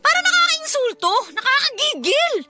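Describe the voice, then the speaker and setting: A woman's voice: very high voice, very animated, normal speed, pushed and bright, heavily acted. A woman acting a stressed, complaining young student in conversational radio drama dialogue. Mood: exasperated. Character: stressed young female med student and her nagging younger sister. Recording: faint music under dialogue. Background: faint background music.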